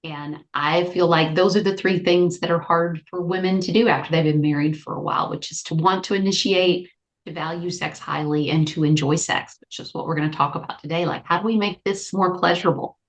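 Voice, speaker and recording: Lower-pitched woman psychologist, animated. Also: fairly quick. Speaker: clinical psychologist guest. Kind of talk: conversational interview.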